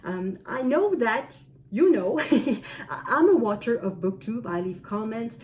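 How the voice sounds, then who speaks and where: Mellow-voiced French Canadian woman musing. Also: fairly high pitch, sing-song, slight background noise. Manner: thoughtful, unhurried, musing aloud. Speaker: book vlogger. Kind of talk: casual talk to camera.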